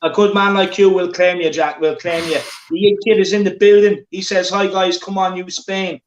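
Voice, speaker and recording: A man's voice: high-pitched, steady tone, moderate pace, echoey room, muffled recording, a little hiss or hum. A man hosting a football watchalong, chatting casually and reading out viewer comments. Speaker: watchalong host.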